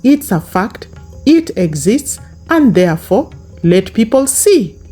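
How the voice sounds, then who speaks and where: A woman's voice: medium pitch, sing-song, slow pace, dark tone, slightly rough, faint music behind. A woman commentating on a YouTube channel, talking straight to her viewers, stressing and repeating her points; English is not her first language. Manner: emphatic, repetitive, speaks straight to viewers. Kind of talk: reaction commentary monologue.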